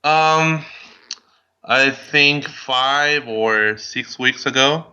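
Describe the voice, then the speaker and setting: A man's voice: medium pitch, extremely slow, pushed and bright, smooth, lo-fi sound. A man in his thirties from Venezuela, a podcast listener answering questions, hesitant and full of ums and restarts. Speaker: guest listener. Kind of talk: casual podcast Q&A.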